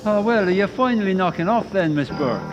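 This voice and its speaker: An actor playing a chatty, deferential studio gate guard in an old-time radio drama. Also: male voice, high-pitched, animated, fairly quick, lo-fi sound, music in the background. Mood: friendly.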